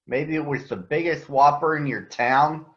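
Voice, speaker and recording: An American man addressing children and reading a picture book aloud in a deliberate, announcer-like way. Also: fairly high pitch. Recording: echoey room, slightly dull sound.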